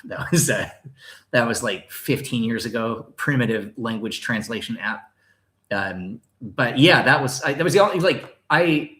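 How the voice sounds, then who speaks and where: A man's voice: lowish voice, a bit bright. A man in his thirties hosting a casual livestream, answering viewer questions in a rambling, chatty way full of fillers and restarts. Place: home in Thailand.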